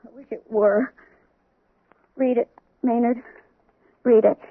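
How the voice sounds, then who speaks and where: A clingy, fearful wife pleading in a high voice. She is heard through a narrow, tinny old radio broadcast. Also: steady tone, slow pace. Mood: anxious. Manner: clingy, anxious. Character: jealous, fearful young wife. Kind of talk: 1970s radio drama acting.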